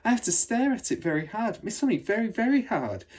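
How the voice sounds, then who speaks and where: An excitable little storybook schoolgirl, acted out by a man reading aloud, pitched up and slightly husky. She is eager and inquisitive, with a breathless delivery that swings about in pitch. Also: a little fast. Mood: excited. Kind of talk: children's storybook read aloud.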